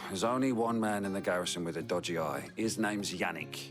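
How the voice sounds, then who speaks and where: A world-weary soldier in a game cutscene, grudging in his delivery. His voice is low, with music clearly playing behind it. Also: normal speed. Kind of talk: video game cutscene acting.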